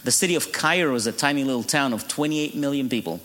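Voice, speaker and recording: A man's voice: medium pitch, fast, a bit bright. A middle-aged man with an Egyptian accent, Arabic his first language, speaks from a church pulpit as a guest preacher. He tells his story with humor and often laughs at his own jokes. His mid-range voice rings out into a long, echoing hall. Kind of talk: sermon testimony.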